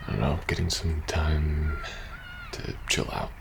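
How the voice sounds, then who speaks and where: A young soldier, acted by a man, murmurs in a very deep voice, slowly and haltingly. He sounds worn down and worried, in an intimate post-apocalyptic ASMR drama with some noise behind him. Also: little pitch movement, vocal fry, exaggerated voice. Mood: awkward, reassuring. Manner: hesitant, halting, sighing between phrases. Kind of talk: intimate ASMR roleplay, naturalistic acting.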